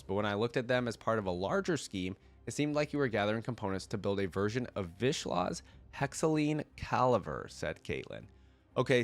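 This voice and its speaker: A man with a congested, cold-affected voice, reading a story aloud and breaking off into rambling, casual commentary as a reaction video host. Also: on the low side. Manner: rambling, thinking aloud in asides.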